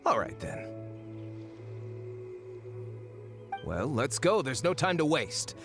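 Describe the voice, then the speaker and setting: A glib archaeologist from a video game, talks in a theatrical, swooping way. He sounds impatient and a little superior, with soft music underneath. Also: a little high, big pitch swings, moderate pace. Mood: eager, scheming. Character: smooth-talking, impatient male archaeological researcher with airs. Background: faint background music.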